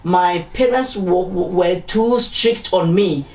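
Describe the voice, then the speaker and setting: A woman's voice: fairly low pitch, slow pace, darkish tone. A woman hosting a casual interview, chatty and teasing, often humming "mm-hmm" along. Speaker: interview host. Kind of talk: casual interview, switching into Twi for the sign-off. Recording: echoey room, distant mic, faint noise.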